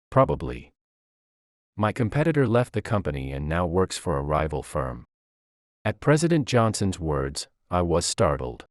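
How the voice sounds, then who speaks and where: Low-voiced man narrating a story, even pitch. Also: no echo, clean studio sound. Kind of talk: scripted first-person story narration, read aloud with dialogue.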